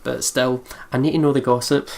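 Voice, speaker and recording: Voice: medium pitch, steady tone, brisk, gravelly, a little mellow. A co-commentator on a let's play, giving casual reactions with dry, crude jokes.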